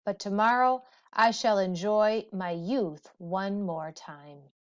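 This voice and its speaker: A woman's voice: medium pitch, takes it slowly, natural voice. A woman voicing a weary, grieving male hero king from an ancient legend, declaiming earnestly in a storybook reading. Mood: resolved. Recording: dull, muffled lo-fi audio.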